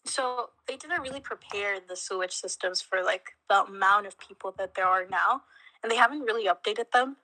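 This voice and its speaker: A woman's voice: medium pitch, normal speed, sharp tone, slight background noise, rough recording. A young woman from Mexico, a college student chatting casually in an interview, with lots of fillers and little laughs. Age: young adult. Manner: chatty, many fillers, laughs between words.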